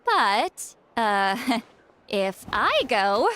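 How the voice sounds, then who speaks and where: A woman's voice: fairly high pitch, big pitch swings, very slow, sharp tone, heavily acted. A woman playing a haughty, easily irritated great shaman in a video game, huffy and prone to sighing. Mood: pensive. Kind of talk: video game dialogue acting.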